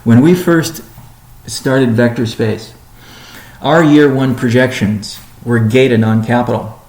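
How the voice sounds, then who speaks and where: A man, a company chief executive, answering community questions in a measured, deliberate, businesslike way on a weekly conference call. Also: mid-range voice, darkish tone, roomy sound, low-quality recording.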